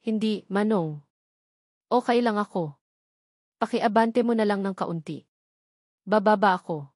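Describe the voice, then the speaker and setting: A woman's voice: medium pitch, unhurried, a little mellow, no echo, natural voice. Female reader as lovesick young man, pleading and insistent, storybook romance, dry recording. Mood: shaken, insistent.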